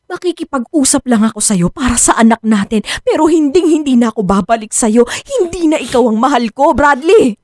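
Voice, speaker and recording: A woman's voice: high voice, expressive, fairly quick, darkish tone, no echo, clean studio sound. A woman acting in a radio drama as a fed-up, defiant unfaithful wife and mother, scolding with emphatic emotion. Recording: music bed behind the voice.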